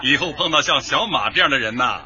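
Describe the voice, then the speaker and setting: An actor playing a jovial, kindly elderly neighbour in a radio drama. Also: male voice, mid-range voice, expressive, fast talker, muffled recording, noisy background, lightly acted. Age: older.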